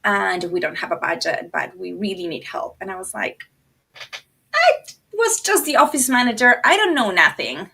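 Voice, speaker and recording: Woman from Argentina, native Spanish speaker, middle-aged, bright voice, medium pitch, casual animated storyteller. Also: normal speed, vocal fry, husky. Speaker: podcast guest, designer and producer.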